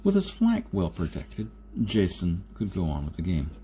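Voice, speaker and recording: Mellow, low-voiced man reading fiction. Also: wildly swooping pitch, moderate pace. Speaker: audiobook reader. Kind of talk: scripted narration of a science fiction story. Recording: noticeable background noise.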